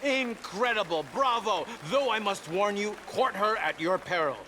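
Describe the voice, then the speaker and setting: A man's voice: high voice, normal speed, pushed and bright, slight background noise. An actor playing a showy male stage show host who introduces acts with theatrical flair. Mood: delighted. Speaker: showman emcee. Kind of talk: game character dialogue.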